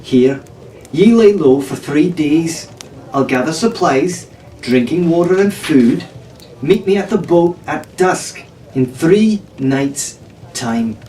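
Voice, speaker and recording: A man's voice: a little high, expressive, slow, warm tone, far from the mic, voice pushed up. A man voicing a feisty, practical teenage tavern girl for a storybook, firm and earnest in manner. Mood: businesslike. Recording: roomy, off-mic, faint noise.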